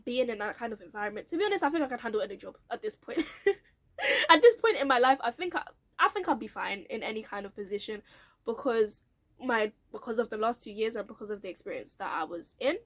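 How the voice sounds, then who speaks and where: A young adult woman with a nasal, blocked-nose voice, telling her career story to camera in a chatty, frank way and laughing often. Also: fairly high pitch. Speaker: vlogger.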